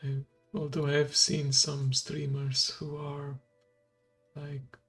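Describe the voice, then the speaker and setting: Male VTuber, native Hungarian speaker, slightly higher pitched, slow hushed ASMR delivery. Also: level tone. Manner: slow, hushed and gravelly, drifting into whisper. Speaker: VTuber streamer. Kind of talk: soft-spoken ASMR stream. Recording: faint background music.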